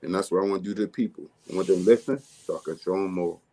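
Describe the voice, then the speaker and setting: A man from with a deep, bass-heavy, mumbly voice, talking casually as a music artist guest in an interview. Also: rapid-fire, dark tone. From Baltimore, USA. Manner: mumbly, laid-back streetwise delivery.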